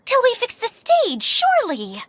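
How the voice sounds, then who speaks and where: Perky, earnest young girl game character, very high pitch, bouncy intonation. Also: measured pace, heavily acted. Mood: friendly, chatty. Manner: perky, expressive. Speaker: voice actor. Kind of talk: animated game dialogue.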